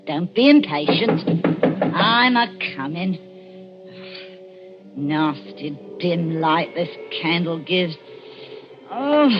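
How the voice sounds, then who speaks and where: An actress playing a cruel-tongued old working-class English crone, crooning and scolding in 1940s radio drama style. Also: female voice, lowish voice. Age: older. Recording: muffled 1940s radio broadcast, loud music.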